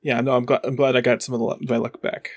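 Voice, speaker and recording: A man's voice: medium pitch, level tone, fast talker, no echo, studio quality. A man talking casually as a player in a tabletop role-playing game, reporting his rolls to the group. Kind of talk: casual chat while rolling dice.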